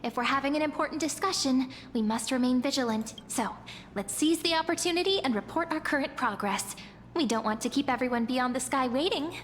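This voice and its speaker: A woman's voice: sits high, animated, brisk, long reverb tail, slight background noise. An actress playing a polite young female companion in a fantasy game, composed and lightly teasing. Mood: composed, playful. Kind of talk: scripted game voice acting.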